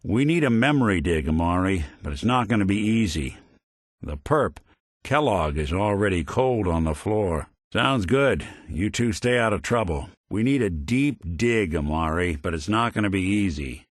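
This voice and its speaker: A hard-boiled robot private eye from a video game, speaks in a fairly low, very dry-recorded voice. His delivery is wry and world-weary, clipped like a noir gumshoe, yet his pitch moves around a lot. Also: animated, normal speed, no echo. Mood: wry, steady. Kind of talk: scripted game character voice lines.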